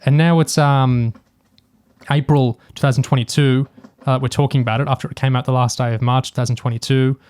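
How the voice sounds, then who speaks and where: A man talks as a podcast co-host in an informal discussion. His voice is mid-pitched, and he feels his way through points, musing tentatively as he goes. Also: fairly even intonation, fairly quick, darkish tone, clean tone. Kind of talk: casual discussion.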